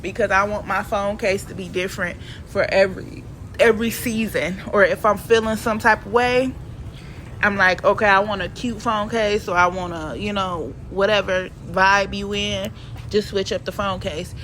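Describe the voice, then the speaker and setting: A woman's voice: mid-range voice, steady tone, normal speed, fairly bright tone. A woman narrates her shopping vlog in a casual, playful, slangy way. Her voice sounds stuffy and sniffly from a cold. A faint background hiss sits under the home recording. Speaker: vlogger.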